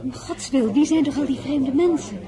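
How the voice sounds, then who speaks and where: An actress playing an earnest, emotional young crown princess in a radio play, speaking softly and wistfully, then urgently. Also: female voice, high voice, normal speed, crowd noise, natural voice. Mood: agitated. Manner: soft and wistful, turning urgent and pleading. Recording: noisy old radio play recording.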